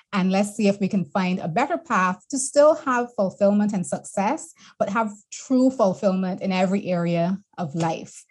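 A woman's voice: medium pitch, a little mellow. A middle-aged woman from Barbados, a wellness coach telling her story as an interview guest in an earnest, reflective way.

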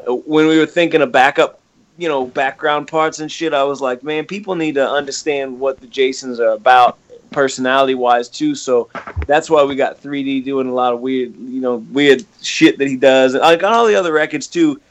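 A man's voice: medium pitch, moderate pace. A man, a band member guesting on a podcast, talking casually with lots of swearing and long explanations. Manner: profane, bantering, explains at length.

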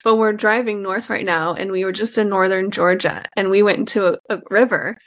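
A woman's voice: medium pitch, a little fast. Host, woman; casual and affirming, supportive conversational tone. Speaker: podcast host.

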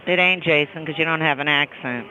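A woman's voice: deep voice, a little fast, pushed and bright. A woman answering the phone at a bowling alley, speaking casually in a blunt, skeptical way. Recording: dull noisy phone line.

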